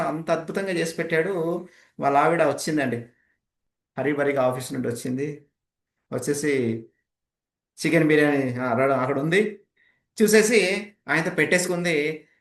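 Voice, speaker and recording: A man leads an interactive training session as a speaking coach, explaining emphatically and putting rhetorical questions to participants. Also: on the high side. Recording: echoey, roomy sound.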